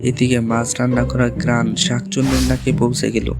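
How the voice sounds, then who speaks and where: A man's voice: mid-range voice, monotone, a little fast. A man narrating a scripted cartoon story. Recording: loud music under the voice.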